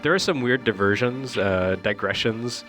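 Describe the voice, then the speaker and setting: A man's voice: mid-range voice, moderate pace. A man giving casual, opinionated and wry commentary on video games. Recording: music behind the voice.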